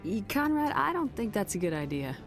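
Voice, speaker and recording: A woman's voice: medium pitch, sing-song, a little fast, soft background music. An actress playing a firm, principled female military commander in a game, direct and controlled. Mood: patient, firm.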